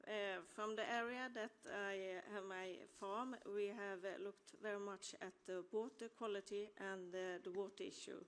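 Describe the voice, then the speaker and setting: A woman's voice: mid-range voice, steady tone, moderate pace, few pauses. A woman dairy farmer from the west coast of Sweden, speaking English with a Swedish accent and hesitating often as she searches for words while asking a panel a question.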